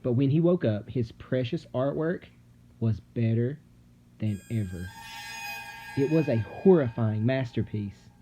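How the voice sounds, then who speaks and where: A man reading a children's story aloud dramatically, with suspenseful, theatrical delivery and deliberate pauses. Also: mid-range voice, lively intonation. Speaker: storybook narrator. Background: music.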